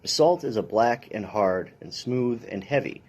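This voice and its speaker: A man's voice: fairly low pitch, animated, measured pace, a little mellow. A man, a police officer, reading a children's science picture book aloud in an instructional way with brief dry asides.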